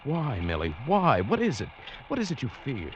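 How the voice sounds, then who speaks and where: An actor playing a brooding widowed sailor in a vintage radio drama, speaking in an earnest, measured, dramatic way. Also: male voice, mid-range voice, wildly swooping pitch, slight background noise. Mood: pleading, ardent. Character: brooding widowed sailor in love, resolute, narrating his tale. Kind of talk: 1940s radio drama acting with first-person narration. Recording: dull vintage radio recording with music.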